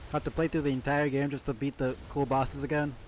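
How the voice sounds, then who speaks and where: A man's voice: medium pitch, little pitch movement, brisk, darkish tone, slight background noise. A man giving casual live gaming commentary, thinking aloud as he plays and chatting with viewers. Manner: musing aloud while playing, chatty with viewers. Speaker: live game streamer.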